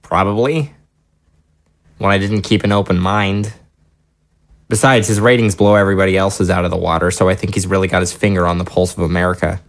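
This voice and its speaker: An actor playing a sullen, defensive young adult son in an audio drama, clipped and mildly sardonic. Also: male voice, low-pitched, steady tone, fairly quick. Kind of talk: naturalistic audio drama dialogue.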